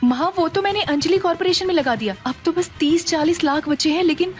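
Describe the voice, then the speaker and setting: An actress playing an anxious, sharp-tongued young wife in an audio drama. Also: female voice, very high-pitched, a little fast, faint music behind. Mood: worried. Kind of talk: Hindi audio drama acting.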